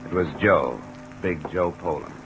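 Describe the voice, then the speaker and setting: An actor with an American accent playing a tough, wry investigator in a radio detective drama, clipped and matter-of-fact. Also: male voice, low-pitched, unhurried, over music, rough recording. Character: tough, wry freelance insurance investigator narrating his case. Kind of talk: 1950s radio detective narration and dialogue.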